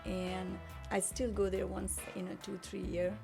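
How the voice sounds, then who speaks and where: A woman from Nepal telling her story in a relaxed interview chat, earnest and searching for words as she goes. Her voice has a gravelly, creaky edge. Clear music plays behind her. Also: mid-range voice, a little slow. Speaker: guest, spa owner.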